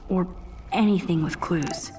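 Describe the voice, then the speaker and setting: An introspective American teenage girl sleuth in a video game, acted by a young woman, speaks her thoughts aloud in a hushed, breathy murmur. Her voice sits slightly low and moves at an unhurried, slow pace, wry and observant. Music plays underneath. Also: natural voice. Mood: pensive, sardonic. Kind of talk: game character thinking aloud.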